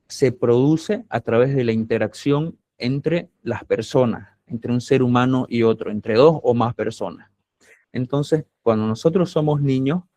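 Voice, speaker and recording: A man's voice: mid-range voice, warm tone, no echo. A man from Santa Cruz, Bolivia, with a camba accent, lecturing a university class in a patient, explanatory way and often checking that students follow.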